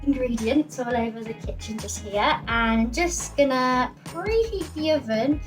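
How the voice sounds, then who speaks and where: A woman's voice: high voice, expressive, measured pace, over music. A young woman from England chatting casually as an amateur baker while she cooks. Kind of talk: casual chat while baking. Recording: roomy, distant mic.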